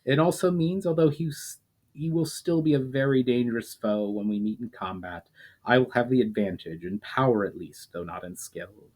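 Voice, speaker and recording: A man's voice: medium pitch, moderate pace, darkish tone, natural voice. A man voicing a resolute male leader who explains firmly and formally, patient but weary of repeating himself.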